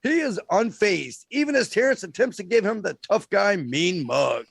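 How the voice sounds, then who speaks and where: A man with a gravelly, smoker-like voice narrating a script as co-host. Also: sits high, very animated, normal speed, no echo, clean studio sound.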